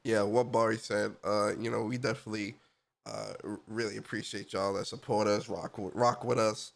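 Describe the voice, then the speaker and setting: A man's voice: fairly low pitch, steady tone, moderate pace, gravelly. A young man with a stuffy, congested voice from a cold, co-hosting a casual gaming podcast in a laid-back, slangy way. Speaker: podcast co-host. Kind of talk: casual chat.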